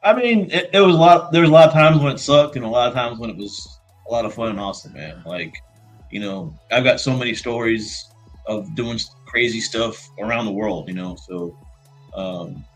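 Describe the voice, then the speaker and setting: A man co-hosting a casual chat, easygoing and halting, repeating words as he goes. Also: mid-range voice, soft background music, low-quality recording. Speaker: co-host.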